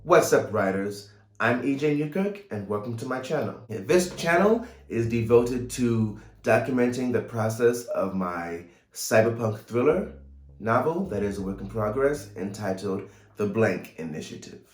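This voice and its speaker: A man in his thirties talking casually to camera in a vlog, measured, pausing between phrases. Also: lowish voice, animated. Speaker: writer and vlogger. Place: small home room, indoors. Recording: roomy echo, light music behind.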